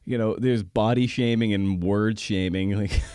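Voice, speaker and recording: Male podcast host, on the low side, dry recording. Casual, candid, self-deprecating, loose and rambling. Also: steady tone, unhurried, dark tone, clean tone, no room sound. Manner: self-deprecating, rambling with repeated false starts.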